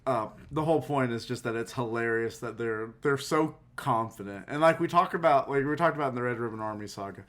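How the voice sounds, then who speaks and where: A man co-hosting a relaxed fan podcast, his pitch sitting right in the ordinary middle. He rambles through his points with plenty of fillers and breaks into easy laughter. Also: a little fast, few pauses. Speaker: podcast co-host. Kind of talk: casual fan podcast chat.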